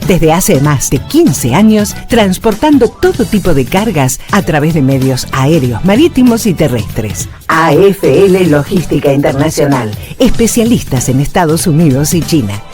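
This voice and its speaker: Lowish, lively Argentine woman announcing adverts. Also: a little slow, few pauses. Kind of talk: scripted radio advertisement. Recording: radio ad over clear music bed.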